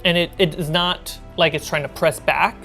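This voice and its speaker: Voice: mid-range voice, fairly even intonation, normal speed, pushed and bright. Game master narrating over music. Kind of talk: improvised tabletop game narration. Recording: music playing behind the voice.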